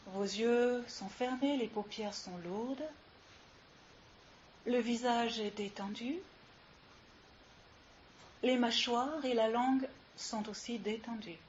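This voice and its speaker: A woman with a fairly high voice guides a relaxation meditation very slowly. She gives soothing instructions and leaves long pauses between them. The live recording is a little dull, with hiss behind her. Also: fairly even intonation. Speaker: yoga nidra instructor. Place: live session with ambient sound.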